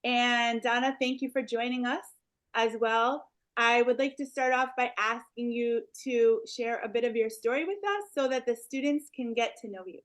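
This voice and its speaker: A woman's voice: a little high, moderate pace. A woman hosting a career speaker series, politely reading out her interview questions.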